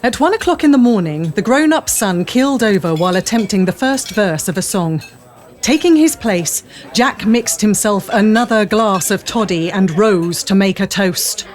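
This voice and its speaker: A woman narrates a tale for an audio drama. Her voice sits at a medium pitch as she delivers scripted storytelling prose. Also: animated, normal speed, noisy background.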